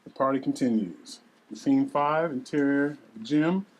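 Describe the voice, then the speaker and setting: A man with a husky voice reading screenplay directions aloud as narrator. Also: medium pitch, normal speed, rough recording.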